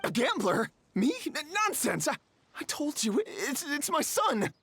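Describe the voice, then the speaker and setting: A man's voice: very high voice, very animated, measured pace, fairly bright tone, husky, exaggerated voice. An actor playing a desperate, pleading middle-aged con man in a game, with a whiny, stammering, theatrical delivery. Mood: flustered. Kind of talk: dramatic game voice acting. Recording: music behind the voice.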